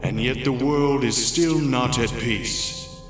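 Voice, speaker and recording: A man voicing a grave, regretful old spirit mentor, solemn and measured, in an echoing comic dub with music behind. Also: medium pitch, close to an everyday voice. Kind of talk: animated-style comic dub acting.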